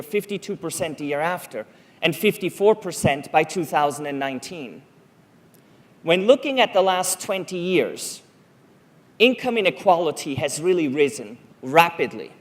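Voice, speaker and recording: A middle-aged man from Switzerland, a native Swiss German speaker, giving a prepared public talk on a conference stage, telling stories and asking rhetorical questions. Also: a little high. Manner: storytelling, measured, rhetorical questions.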